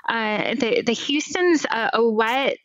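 A woman's voice: fairly high pitch, expressive, slow pace, no echo. A middle-aged woman from Hawaii, a guest on a video-call interview, speaking thoughtfully with small restarts through a headset mic. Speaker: guest, cloud AI expert. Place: home room with window blinds. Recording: slightly dull lo-fi call audio.

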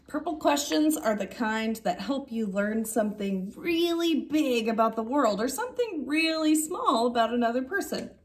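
A woman in her thirties reading a picture book aloud like a teacher, with expressive, drawn-out emphasis on key words. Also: on the high side. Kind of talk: animated children's story read-aloud. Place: indoor classroom.

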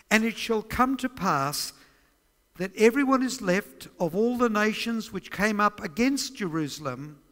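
A man's voice: high-pitched, a bit bright. Older Australian man preaching in a hall. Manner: measured, reads scripture aloud with emphasis. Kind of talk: sermon teaching on prophecy. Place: church stage, large indoor hall. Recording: long church hall reverb.

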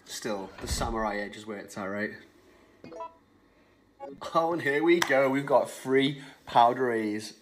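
A man's voice: medium pitch, a little slow, pauses often, background noise. A man giving casual, chatty commentary as he plays a video game. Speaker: gamer, let's play host. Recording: game music behind the voice. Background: video game music.

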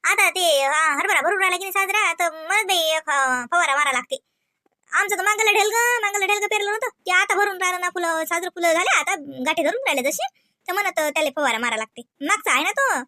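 A woman's voice: very high-pitched, brisk, pushed and bright, no echo, clean studio sound. A woman voicing a chatty, prying village daughter-in-law in a cartoon, gossipy and hesitant, with a Vidarbha Marathi accent. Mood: explaining. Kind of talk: cartoon comedy dialogue.

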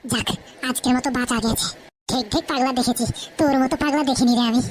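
A woman acts out a scheming young cartoon wife with a very high voice. She talks quickly and whines theatrically to get her way, over a noisy amateur voice track. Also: fairly even intonation, natural voice. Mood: sly plotting. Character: scheming young wife, tearful and wheedling, secretly cunning. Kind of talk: comic cartoon dialogue.

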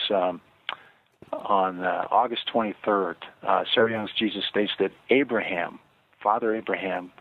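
An older man, an author and guest on a radio interview, speaking earnestly and measuredly with frequent pauses and fillers. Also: fairly low pitch, slightly rough. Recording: tinny phone line, dry.

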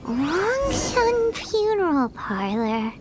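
Tiny blunt fairy sidekick for a game: squeaky, extremely high, slow, childlike. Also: female voice, very animated, very noisy, exaggerated voice. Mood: puzzled. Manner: speaks of self in third person. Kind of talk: video game character acting.

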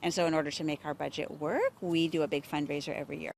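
A woman's voice: deep voice, steady tone, quick pace, creaky, darkish tone. A middle-aged woman, co-founder of a farm school, speaking earnestly in an outdoor interview. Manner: earnest, explanatory, with fillers like "like". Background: faint outdoor ambience.

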